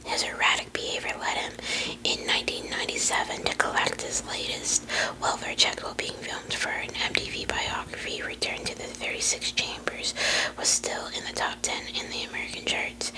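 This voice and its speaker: A woman's voice: fairly quick, rough recording. A young woman whispering close to the mic, rambling, swearing freely, full of fillers. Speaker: vlogger. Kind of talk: whispered casual chat.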